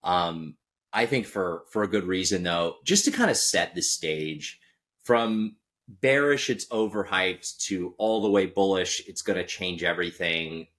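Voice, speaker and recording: Deep-voiced male podcast host. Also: measured pace. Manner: relaxed, thinks aloud while posing long questions. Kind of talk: casual interview chat.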